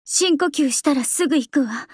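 An actress voicing a young female idol singer in a game, calm and composed, soft-spoken and earnest. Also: sits high, normal speed, no room sound, studio quality, lightly acted. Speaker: game character voice. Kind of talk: game voice lines. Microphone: close studio mic, dry.

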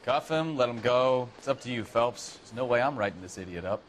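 A man's voice: mid-range voice, animated, brisk, a little hiss or hum, lightly acted. A man playing a brash, scornful police detective in a video game, blunt, contemptuous and slangy. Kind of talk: scripted video game acting.

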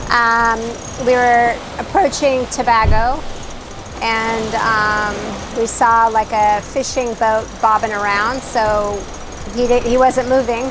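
Higher-pitched woman chatting on a sailing vlog. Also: background noise. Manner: informal, conversational. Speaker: sailing vlogger. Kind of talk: casual vlog chat. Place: aboard a sailing catamaran at sea.

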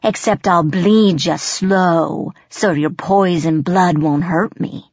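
A woman's voice: deep voice, dry recording, highs cut off, heavily acted. A woman voicing a menacing, gloating male goblin chief, a crude bully with rustic ungrammatical speech, in an audiobook. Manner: rustic ungrammatical dialect, snarling threats. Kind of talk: audiobook character voice.

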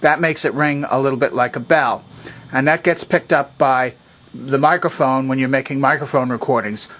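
A man's voice: mid-range voice, fairly even intonation, normal speed, fairly bright tone. An older man narrating a hands-on repair demonstration in a casual, unscripted, methodical step-by-step way. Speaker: presenter of a vintage electronics repair video. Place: indoor workbench.

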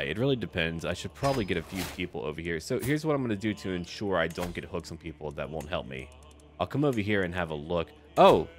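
Man, low pitch, casual game commentary, musing aloud, talks to characters, background music. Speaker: gaming video narrator. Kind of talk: casual video game commentary with light role-play.

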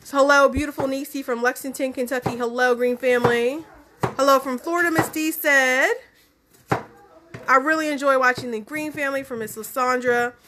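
A young woman co-hosting a home cooking stream, reading out viewer greetings in a friendly, steady way. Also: high-pitched, moderate pace, noisy background. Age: young adult. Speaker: co-host reading viewer comments. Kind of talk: reading out live chat greetings. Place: home kitchen, indoors.

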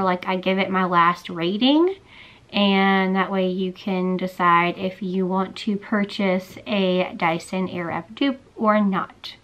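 A young woman in her twenties chatting casually in a bedroom vlog, a little hoarse after losing her voice, with lots of "um" and "like". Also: medium pitch, fairly bright tone, lo-fi sound. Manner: chatty, many fillers like "um" and "like". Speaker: beauty vlogger. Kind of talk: casual product review vlog. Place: small bedroom, indoors. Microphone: camera in front at close range.

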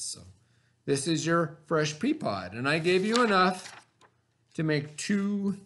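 A man in his forties, a teacher, giving slow step-by-step craft instructions to children from a classroom webcam. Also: medium pitch, a bit bright. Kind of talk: remote classroom craft lesson. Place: classroom, indoors. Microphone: webcam at desk.

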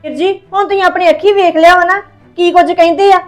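A woman's voice: a little high, fairly quick, dark tone, soft background music, lightly acted. A young woman playing a scheming sister who fakes despair to win her brother over, with pleading, wailing lines. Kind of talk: Punjabi family drama acting.

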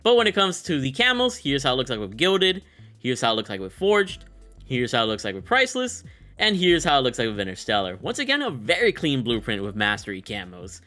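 A man's voice: mid-range voice, wildly swooping pitch, fairly quick, bright, forceful tone, faint music behind. A young man hosting a gaming video with casual, hyped, slangy commentary. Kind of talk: casual commentary on a game showcase.

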